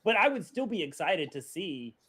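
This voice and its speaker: Male podcast panelist, slightly high voice, very animated pitch, casual chat. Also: normal speed.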